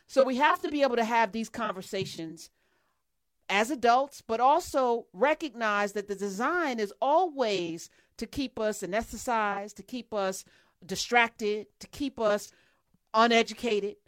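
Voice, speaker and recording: A woman's voice: a little high, measured pace, dry recording. A woman co-hosting a talk show, making earnest, emphatic points in building lists before asking her guest a question. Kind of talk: conversational discussion.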